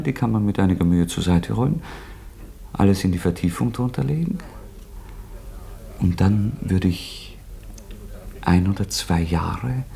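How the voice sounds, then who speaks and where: Radio play role: nervous, introspective young killer, creaky voice, very changeable melody, sinking into whispers. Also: male voice, on the low side, a little mellow, heavily acted. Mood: secretive, taunting. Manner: halting, introspective, drifting into whispers. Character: brooding, feverish young murderer, nervously taunting and evasive. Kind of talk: German radio play acting.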